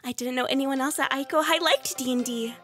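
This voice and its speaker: A woman playing a timid, easily flustered, secretly nerdy schoolgirl in an animated roleplay series. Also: high voice, brisk, faint music behind. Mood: relieved.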